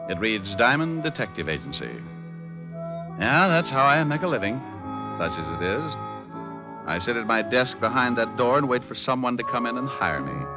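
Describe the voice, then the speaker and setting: An actor playing a world-weary private detective, narrating with slangy deadpan wisecracks in an old radio drama. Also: male voice, medium pitch, lightly acted. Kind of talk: first-person narration, 1940s-50s radio detective drama. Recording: dull old radio recording, music bed.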